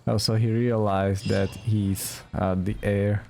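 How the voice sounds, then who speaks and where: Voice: deep voice, little pitch movement, takes it slowly, vocal fry, a little mellow, a little hiss or hum. A gamer giving casual let's play commentary with dry, teasing asides.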